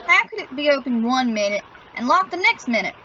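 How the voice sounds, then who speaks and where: A woman's voice: high-pitched, sing-song, brisk, over a noisy crowd, heavy background noise. A woman playing an eager, naive, goofy adolescent son in an old-time radio sitcom. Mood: puzzled. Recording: dull lo-fi radio play recording.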